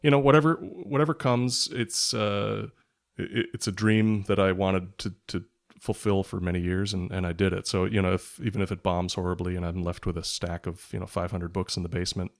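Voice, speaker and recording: Low-voiced man in interview. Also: fairly even intonation, brisk, vocal fry. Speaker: guest, author. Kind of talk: reflective interview talk.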